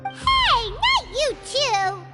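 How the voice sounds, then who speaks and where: A tiny fairy sidekick from a video game, extremely high and squeaky. The childlike voice is cheeky and chirpy and bounces up and down, with prominent music behind it. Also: female voice, wildly swooping pitch, very slow, full character voice. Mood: flustered. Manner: speaks of herself in the third person, cheeky. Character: tiny chirpy childlike fairy companion, talks in third person. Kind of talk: scripted video game dialogue.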